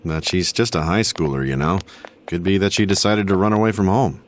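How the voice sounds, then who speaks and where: A man's voice: low-pitched, animated, a little fast, a little hiss or hum. A man voicing a matter-of-fact police officer in a video game dub. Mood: dismissive.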